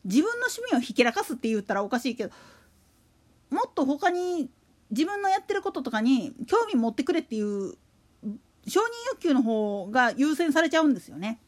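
High-pitched, lively, chatty woman. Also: brisk. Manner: colloquial, slangy, chatty. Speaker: solo podcast host. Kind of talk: casual monologue about a radio announcer.

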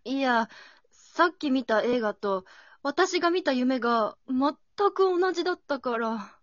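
A woman playing an anxious, bewildered high school girl in an amateur radio drama, thinking aloud in exclamations. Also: lively intonation, unhurried, no room sound, lo-fi sound. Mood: puzzled, subdued.

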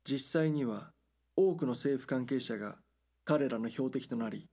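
A man reading scripted documentary drama narration in a measured, serious news-report manner. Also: mid-range voice, vocal fry, mellow, husky.

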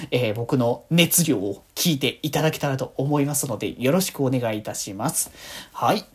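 A woman with a husky voice chatting casually on her own as a podcast host, rambling with excited fan enthusiasm. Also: deep voice. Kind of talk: casual solo fan chat.

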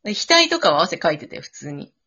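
A woman's voice: on the low side, big pitch swings, moderate pace, sharp tone. A woman chatting casually after an improv drama, switching between polite and informal speech. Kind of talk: casual post-show chat. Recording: dull lo-fi audio.

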